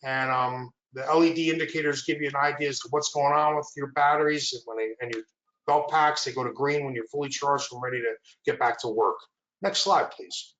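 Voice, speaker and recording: A man's voice: mid-range voice, brisk, highs cut off. Man presenting gear in an echoey room. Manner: steady explanatory walkthrough, asks for the next slide. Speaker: product presenter. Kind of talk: product presentation with slides.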